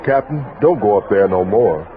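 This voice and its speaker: A man's voice: lowish voice, animated, moderate pace, a crowd in the background, lightly acted. An actor playing a plainspoken, anxious expedition man with folksy ungrammatical speech in a Western radio drama. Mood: concerned. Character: plainspoken anxious expedition member, loyal to his captain. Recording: tinny noisy old radio recording.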